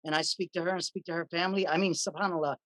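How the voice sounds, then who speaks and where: A man's voice: fairly high pitch, steady tone, rapid-fire, fairly bright tone, dry recording. Man explaining with hesitant ums. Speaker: panel guest. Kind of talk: panel discussion answer.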